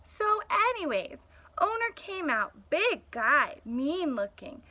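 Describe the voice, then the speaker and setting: Streetwise male stray dog played by a woman, storybook narrator, blunt and sardonic, bright, high pitch. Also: sing-song, slow pace, exaggerated voice. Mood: wry, animated. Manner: sardonic, punchy, conversational. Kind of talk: storybook first-person narration.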